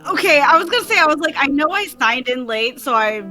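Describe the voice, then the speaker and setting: Young woman, kinda high bright voice, chatty and casual, bit of music in back. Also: lively intonation, a little fast. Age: young adult. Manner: informal, chatty. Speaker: participant in an online script-reading group. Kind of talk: casual chat.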